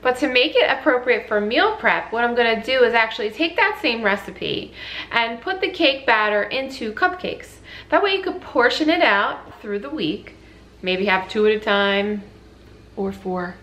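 High-voiced woman, chatty. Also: a bit bright. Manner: chatty, informal, addressing viewers as guys. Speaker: host of a healthy cooking channel. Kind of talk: casual recipe vlog, explaining to viewers. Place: home kitchen, indoors. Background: clear background music.

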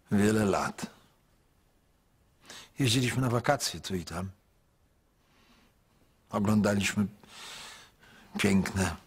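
A male actor playing a grown son in a radio drama, speaking in a measured, heavy, quietly pleading way. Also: lowish voice, husky, heavily acted. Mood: reflective. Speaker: son narrating his story.

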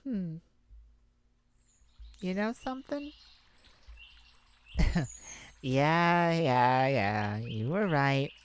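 A woman's voice: low-pitched, slight background noise, heavily acted. A woman playing a kind, anxious mage in an ASMR audio roleplay, talking hesitantly and intimately to a childhood friend. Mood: reluctant, playful. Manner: hesitant, stammering, soft-hearted worrier.

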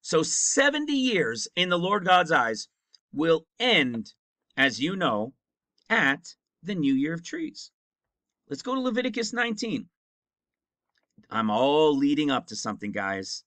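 Bright, emphatic man in his fifties. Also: on the high side, dry recording. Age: 50s. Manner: emphatic, repeats points and asks "right?". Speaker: Bible teacher. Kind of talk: screen-share Bible teaching.

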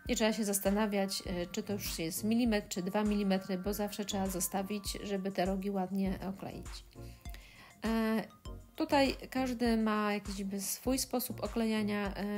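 A woman talking through a step-by-step scrapbooking tutorial, pausing often with filler sounds. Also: mid-range voice, fairly even intonation, darkish tone, soft background music. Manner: hesitant, many filler sounds. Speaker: crafting tutorial host. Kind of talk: step-by-step craft tutorial voice-over.

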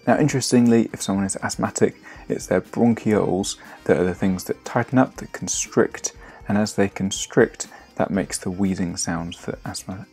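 A young man explaining biology in a patient, clear teaching manner, recorded in a home kitchen on a camera across the table. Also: low voice, darkish tone, roomy sound. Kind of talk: educational explainer.

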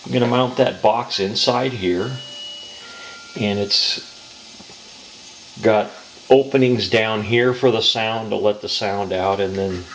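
An American man giving a casual hands-on hobby demonstration in an unhurried, halting, explanatory way, recorded indoors on a home camera. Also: fairly low pitch, fairly bright tone. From Southern California, US. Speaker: model train hobbyist presenter. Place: indoors in a home dining room. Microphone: handheld camera's built-in mic. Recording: noisy home camcorder audio.

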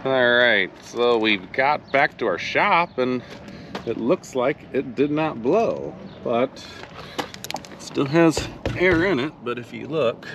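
A man in his thirties narrating a casual work vlog about hauling campers, relaxed and unhurried with lots of fillers. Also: mid-range voice. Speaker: towing business owner. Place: truck cab and outdoor work yard. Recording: noisy with vehicle and engine hum.

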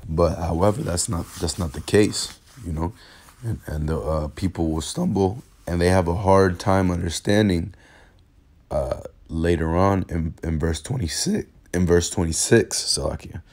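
A man who is hoarse from a cold, with a raspy voice, teaching an informal bible lesson in a halting way, repeating words and using many fillers. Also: deep voice, a little mellow. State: hoarse from illness. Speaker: religious teacher. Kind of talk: informal bible lesson over screen recording. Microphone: phone screen recording.

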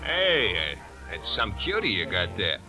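A man's voice: lowish voice, huge pitch swings, a little slow, over music. A man playing a sneering, lecherous young tough with a taunting, slangy manner in a 1940s radio drama.

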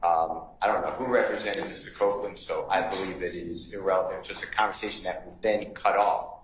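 Low-voiced male defense attorney in echoey courtroom. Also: steady tone, a little fast. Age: middle-aged. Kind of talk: courtroom argument. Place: large wood-paneled courtroom. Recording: roomy courtroom echo, background noise.

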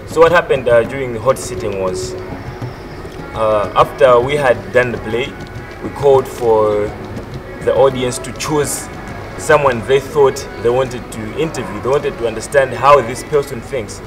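Man, 20s, performer recounting in documentary interview, average pitch, clear music bed. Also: a little slow. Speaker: theatre performer.

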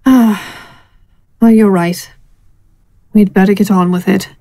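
Actress as sly female spell-dabbler, unhurried, coaxing, mid-pitched, dry close sound, audio drama. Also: steady tone, warm tone, no echo. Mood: flirtatious, hushed. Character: sly, flirtatious woman friend dabbling in spells.

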